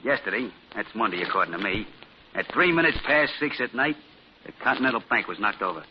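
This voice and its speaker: A gruff, blunt streetwise tough, played by a man, speaks in a lowish voice, flat and unimpressed. It's 1940s radio acting on a muffled old broadcast with a faint hiss underneath. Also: brisk, natural voice.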